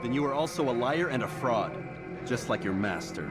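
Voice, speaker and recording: A man's voice: medium pitch, animated, normal speed, over loud music, lightly acted. An actor playing a stern young male assassin who speaks curtly and accusingly in a game cutscene. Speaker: assassin protagonist. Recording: game score under dialogue.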